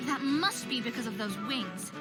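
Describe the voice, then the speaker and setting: A woman's voice: on the high side, expressive, moderate pace, over loud music, close to an everyday voice. A woman voicing an anxious but determined young female figure skater in a visual novel game, given to self-doubt and pep talks. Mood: amazed, indignant.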